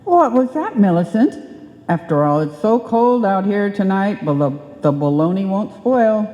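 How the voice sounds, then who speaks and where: In a reverberant live hall, a woman acts a homey radio-comedy wife with a mellow voice and lively intonation. She is patient and coaxing, with a wry, gently chiding edge. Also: medium pitch, moderate pace, dull audio, natural voice. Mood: wry, sociable. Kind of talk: old-time radio comedy acting before a live audience. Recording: echoey live hall recording.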